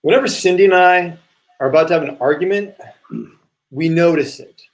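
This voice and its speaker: A man playing an earnest, preachy brother in a live play reading, deliberate and insistent. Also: a little high, lightly acted. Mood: earnest, instructive.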